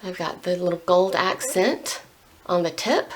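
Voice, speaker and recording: A woman's voice: lowish voice, animated, unhurried. A young woman chatting casually while painting her nails, rambling and full of "like". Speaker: beauty vlogger.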